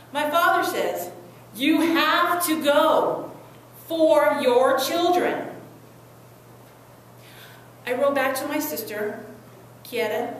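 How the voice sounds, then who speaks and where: A young woman performing a dramatic monologue as a devoted, anguished Salvadoran migrant mother, speaking earnestly with deliberate, emotive phrasing and pauses. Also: a little high, fairly bright tone, heavily acted. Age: young adult. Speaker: student performer. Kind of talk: dramatic stage monologue reading. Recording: very echoey room, distant mic, faint noise.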